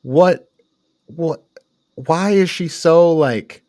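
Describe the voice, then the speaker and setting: A man's voice: fairly high pitch, very slow, darkish tone, no room sound, studio quality. Exasperated man chatting about films. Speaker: podcast co-host reviewing films. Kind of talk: casual chat, film review.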